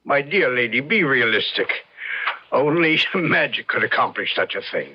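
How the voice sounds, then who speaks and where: An actor playing a patient, condescending cosmetic surgeon who protests and placates in an old radio drama. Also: male voice, mid-range voice, animated, moderate pace, slightly rough. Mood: placating, exasperated. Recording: dull old radio recording.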